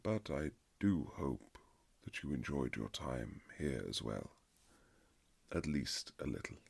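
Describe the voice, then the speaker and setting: Man acting a very deep, gravelly lovelorn gentleman husband. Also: sing-song, heavily acted. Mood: hesitant, resigned. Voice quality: gravelly, husky. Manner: formal, old-fashioned, halting, earnest phrasing. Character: reserved aristocratic husband, formal, tender, secretly lovelorn. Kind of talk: intimate romantic audio roleplay, period drama.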